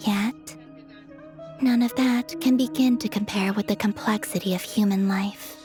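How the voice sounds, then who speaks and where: A woman voicing a serene, gentle, enigmatic young moon goddess in an anime game, speaking softly and with measure. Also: mid-range voice, steady tone, unhurried, music in the background, lightly acted. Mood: gentle, reflective.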